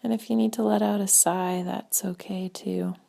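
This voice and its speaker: A woman leading a guided meditation in a gentle, spaced-out way, her soft breathy voice often trailing toward a whisper. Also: mid-range voice, steady tone. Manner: slow, gentle, spaced-out phrasing with long pauses.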